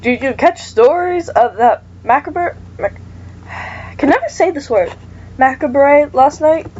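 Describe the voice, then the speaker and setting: Woman voicing chatty teenage boy, excited, rambling, higher pitch, muffled mic. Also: takes it slowly. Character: chatty horror-movie-loving teen boy.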